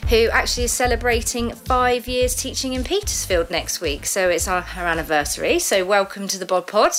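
A woman's voice: medium pitch, brisk, fairly bright tone, soft background music. A woman, hosting a casual interview and putting questions to a guest. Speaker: podcast host, interviewer.

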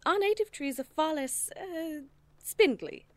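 Audio drama botanist: highish, animated, fond teasing, dry amusement. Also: female voice, unhurried, no echo. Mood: thoughtful, dry. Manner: playful, drawling endearments, dryly amused. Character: teasing, affectionate woman botanist at island gardens. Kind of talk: naturalistic audio drama dialogue.

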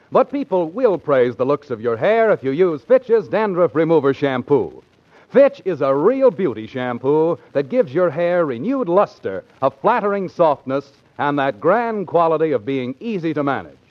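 A man's voice: a little high, very animated, moderate pace. An American man reading advertising copy as a commercial announcer in a 1940s radio style. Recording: dull 1940s radio recording.

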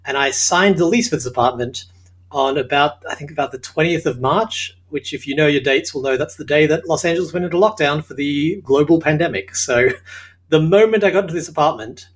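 Fast-talking Australian man. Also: on the high side. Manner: upbeat, rapid storytelling with frequent laughs. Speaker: creator of an audio sitcom, interview guest. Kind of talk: casual interview chat. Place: home apartment.

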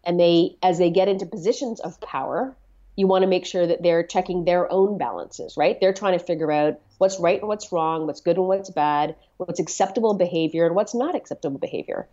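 A middle-aged woman from Canada who talks in a low, earnest voice. She often hesitates and repeats words as she reflects on her story. The sound is slightly muffled and lo-fi. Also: a little fast, dark tone. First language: Swahili. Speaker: interview guest. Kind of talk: reflective conversational interview.

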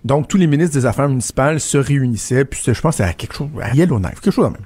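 A man's voice: mid-range voice, lively intonation, fast talker, darkish tone, husky. Québécois man telling political anecdotes. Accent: Québécois French. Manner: storytelling, many restarts and repeated words. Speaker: political commentator. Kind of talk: casual radio chat.

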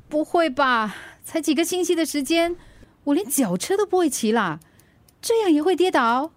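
An actress playing a plucky, stubborn delivery rider and mother in a radio drama, expressive and colloquial with a Singaporean accent. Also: high voice, normal speed, no room sound. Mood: dismayed. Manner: expressive, colloquial, self-urging. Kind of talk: Mandarin radio drama acting.

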